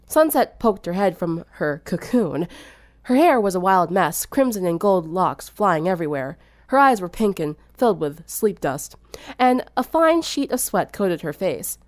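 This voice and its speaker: A woman's voice: on the low side, big pitch swings, unhurried, no room sound, clean studio sound. A young adult woman reading scripted story narration. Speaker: fan fiction narrator.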